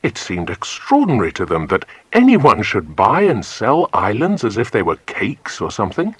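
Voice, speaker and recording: Male British narrator for a children's adventure audiobook, deep and husky, expressive, measured delivery. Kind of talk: scripted storybook narration.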